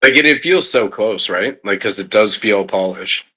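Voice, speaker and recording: A man's voice: lowish voice, animated, moderate pace, sharp tone, husky. A man chatting casually in an informal, enthusiastic way. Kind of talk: casual chat.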